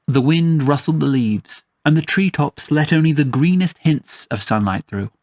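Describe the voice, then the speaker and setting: A man's voice: a little high, animated, normal speed, darkish tone, no room sound, clean studio sound. A man reading scripted first-person fantasy fiction as its storyteller. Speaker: storyteller, narrator.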